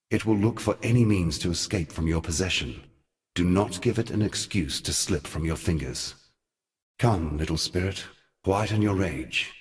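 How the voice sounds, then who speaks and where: An actor playing a grave, noble god who guides mortals, speaking in a solemn, measured, lofty way for a fantasy game. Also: male voice, low-pitched. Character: grave, noble brother god, guiding mentor of exiles. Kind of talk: dramatic fantasy game voice acting.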